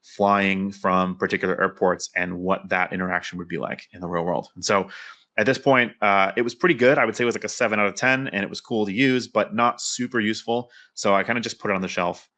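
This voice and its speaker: A man speaks as a presenter at a technical talk. His voice sits low but has a bright, forward edge. He explains in an informal, conversational way at a brisk clip. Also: steady tone. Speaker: conference speaker, developer and pilot.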